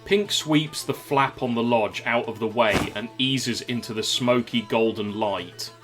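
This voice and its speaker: A man's voice: medium pitch, unhurried, music in the background. A man narrating a scripted audio drama as the storyteller.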